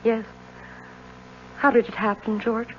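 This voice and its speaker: A woman's voice: fairly high pitch, flat delivery, a little slow, a bit bright, a little hiss or hum. An actress playing a direct, bossy society woman in a radio drama. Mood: tender. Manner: direct, commanding. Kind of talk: 1970s radio drama acting. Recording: dull old radio recording.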